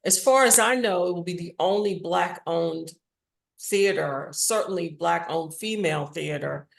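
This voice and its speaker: A woman with a fairly low voice and pronounced vocal fry, chatting as an interview guest. She tells her stories frankly and informally, in a candid conversational style. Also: measured pace. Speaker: interview guest, theatre maker and audio drama writer.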